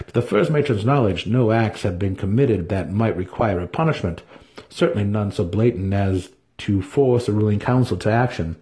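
A man's voice: lowish voice, warm tone. A man giving a dramatic reading of a fantasy story as its narrator. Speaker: audiobook narrator.

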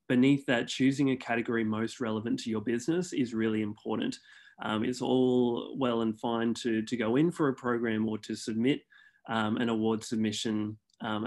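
A man explaining the award submission process on a webinar in a measured way, often pausing on ums. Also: medium pitch, a little mellow. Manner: measured, many ums and restarts. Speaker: awards consultant guest.

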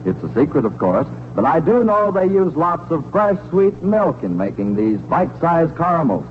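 Animated American radio announcer, highish voice. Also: male voice, moderate pace. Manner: cheerful, polished sales patter. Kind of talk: 1940s scripted radio commercial. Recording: muffled, noisy 1940s radio recording.

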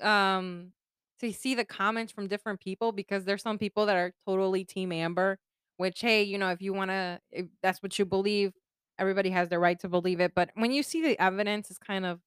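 A woman's voice: medium pitch, fairly even intonation, a little fast, clear, resonant tone, no room sound, clean studio sound. A woman from Puerto Rico co-hosting a podcast in casual chat, laughing often and slipping between English and Spanish. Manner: laughs often, bilingual code-switching. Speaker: podcast co-host.

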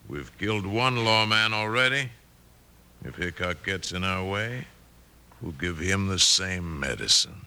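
A man's voice: low-pitched, unhurried, background noise. An American man playing a ruthless, bossy cattle rustler in an old radio western, hard and domineering. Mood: menacing. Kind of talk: 1950s radio western acting.

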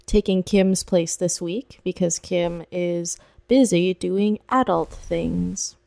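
A woman's voice: lowish voice, slow pace, vocal fry, warm tone, dry recording. A woman hosting a casual podcast over a video call, upbeat and giggly. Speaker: podcast host.

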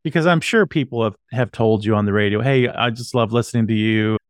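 Smooth, clear-voiced male interviewer. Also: on the low side, brisk, dry recording, clean studio sound. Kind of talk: casual chat.

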